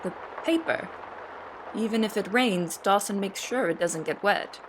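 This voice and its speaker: A woman playing an earnest young female cook in an audio drama, speaking in a formal, measured, articulate way. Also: medium pitch, background noise, lightly acted. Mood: polite, insistent. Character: Anne Marie, earnest young female cook writing testimony. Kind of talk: audio drama, formal letter narration and dialogue. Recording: music bed under the voice. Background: instrumental music.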